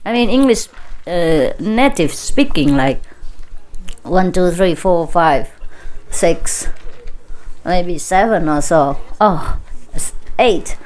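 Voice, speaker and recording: A woman with a Vietnamese accent speaking informally to a gathering as a spiritual teacher, playful and teasing, often laughing as she talks. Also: fairly low pitch. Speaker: spiritual teacher addressing followers. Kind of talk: informal talk with joking banter to an audience. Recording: clear background noise, live gathering.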